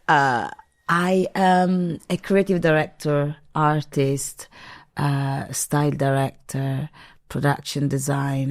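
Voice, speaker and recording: Low-voiced, reflective woman guest. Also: darkish tone, no echo, clean studio sound. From northern Italy. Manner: reflective, unhurried, with frequent ums. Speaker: podcast guest. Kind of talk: interview conversation.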